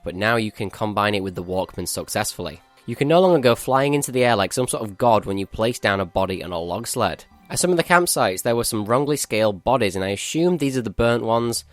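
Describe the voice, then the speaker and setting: A man's voice: mid-range voice, no echo. A male gaming channel host giving a matter-of-fact, explanatory walkthrough of a game update.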